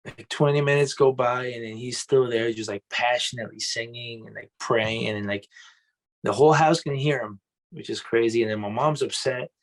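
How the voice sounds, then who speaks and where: A young adult man from Colombia, a pastor and music minister, telling stories in a loose, animated way on an interview show. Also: medium pitch, normal speed, rough recording. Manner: loose and animated, says "man" and "bro" a lot. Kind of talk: casual interview storytelling.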